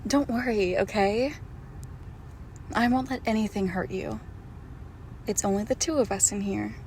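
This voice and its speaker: Doting, possessive monster girlfriend played by a woman, intimate audio roleplay: hushed, unhurried, gravelly creak. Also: medium pitch, slight background noise, lightly acted. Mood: tender, reassuring. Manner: slow, hushed, tender, often dropping to a whisper.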